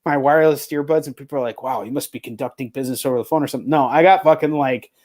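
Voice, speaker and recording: A man's voice: mid-range voice, very fast, darkish tone. A man hosting a casual chat interview, talking bluntly and swearing freely. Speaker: show host.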